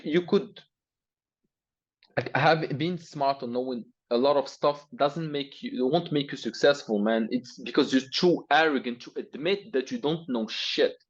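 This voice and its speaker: Young Arabic-accented man, interview guest, blunt and profane, husky and slightly muffled. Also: mid-range voice. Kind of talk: interview, motivational rant.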